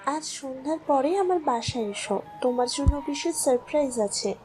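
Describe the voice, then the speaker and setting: A woman's voice: high-pitched, lively intonation, moderate pace, a little mellow, a little hiss or hum, low-quality recording. A woman playing a female office boss, stern yet seductively coy, in an audio love story. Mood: coy, inviting. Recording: music bed behind the voice.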